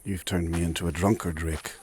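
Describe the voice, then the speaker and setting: A man playing an ancient, proud and solemn warrior in a dark fantasy audio drama, speaking formally and gravely. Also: steady tone, brisk, a little hiss or hum, natural voice. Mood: wistful, grave. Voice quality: husky, very deep.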